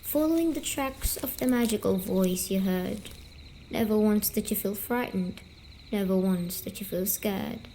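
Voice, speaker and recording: A woman voicing a gentle, teasing female sleep paralysis demon, speaking softly and soothingly in an ASMR story-telling roleplay. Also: medium pitch, slight background noise, close to an everyday voice, low-quality recording. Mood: hushed storytelling. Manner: slow, soothing, coaxing, softly spoken.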